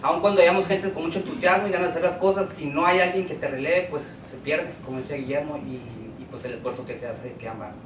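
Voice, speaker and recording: A Mexican man speaks on a panel, hesitating and filling his pauses. His voice sits somewhat high. The recording is distant and echoey, with steady room noise. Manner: hesitant, many fillers, slow. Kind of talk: panel talk. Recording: echoey hall, distant mic, noisy.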